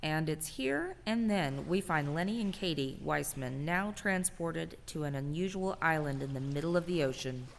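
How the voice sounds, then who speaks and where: A woman narrating a scripted radio drama as a storyteller, deliberate and dramatic. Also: low-pitched, lively intonation, gravelly, a little hiss or hum. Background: music.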